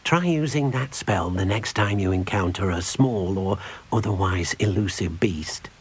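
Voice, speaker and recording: An actor playing a small, gentle house-elf in a video game, timid and earnest, speaking carefully in a husky voice. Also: male voice, deep voice, unhurried. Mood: earnest, helpful. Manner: speaks of himself in the third person, earnest and careful. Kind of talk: video game character dialogue.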